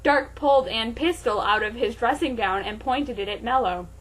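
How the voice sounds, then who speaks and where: A woman with a fairly high voice hosts a comic dramatic reading. She narrates theatrically and undercuts the text with sarcastic, mocking asides. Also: normal speed, fairly bright tone, rough recording. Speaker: host reading fan fiction aloud.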